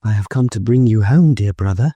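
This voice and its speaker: A man voicing an eager, affectionate little girl, in a raised, bright voice. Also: on the low side, brisk, no echo, voice pushed up, lightly acted.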